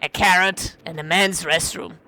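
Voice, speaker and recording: A hoarse woman voicing a dry, reproachful companion in a game, close to her own voice. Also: on the low side, steady tone, moderate pace, sharp tone, a little hiss or hum.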